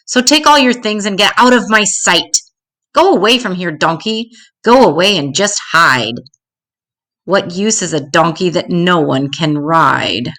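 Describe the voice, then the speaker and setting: A woman's voice: on the low side, unhurried, pushed and bright, heavily acted. A woman voicing a mean, tough man who owns a donkey, scornful and dismissive, in a storybook. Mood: scornful, fed up. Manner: scolding, dismissive.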